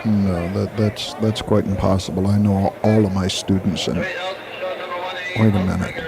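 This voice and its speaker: Give me a man playing an indignant middle-aged professor with a gravelly voice. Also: lowish voice, expressive, unhurried, music in the background. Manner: indignant, stammering when taken aback. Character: proud male literature professor, middle-aged. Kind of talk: 1980s radio drama.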